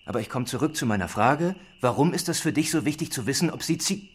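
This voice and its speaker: A man acting a middle-aged husband and father in a radio play, weary and teasing but blunt and quick to take charge. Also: medium pitch, animated, breathy edge. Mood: provoking, exasperated.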